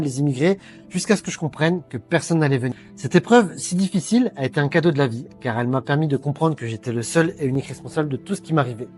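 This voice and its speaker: A middle-aged man giving an earnest sales pitch for a training program, talking straight to the listener and stumbling over frequent fillers. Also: a little mellow. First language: French. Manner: hesitant, many fillers, earnest persuading. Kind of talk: direct-to-camera sales pitch. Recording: faint background music.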